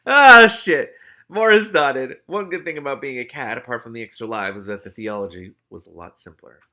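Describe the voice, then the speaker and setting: A man reading a story aloud as host, excitable and expressive, with casual, sweary reactions of his own. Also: mid-range voice, moderate pace. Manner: excitable, animated.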